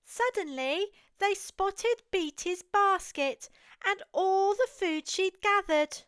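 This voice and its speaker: English female storyteller, very high voice, unhurried and expressive, for toddlers' picture books. Close, dry sound. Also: no echo. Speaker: children's storyteller. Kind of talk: read-aloud picture book narration for toddlers.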